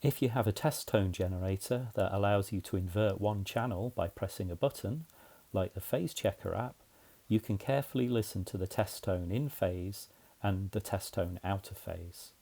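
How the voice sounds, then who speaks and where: A man's voice: deep voice, moderate pace, creaky, warm tone. A man narrating a scripted explainer in a methodical, plain-spoken way, as a tutorial presenter.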